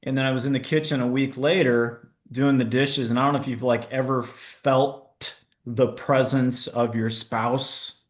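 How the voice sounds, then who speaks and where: A man's voice: mid-range voice, steady tone, a little slow. Candid middle-aged man telling his story. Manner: candid, self-deprecating, with stammered restarts. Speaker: podcast guest, entrepreneur. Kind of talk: casual storytelling interview.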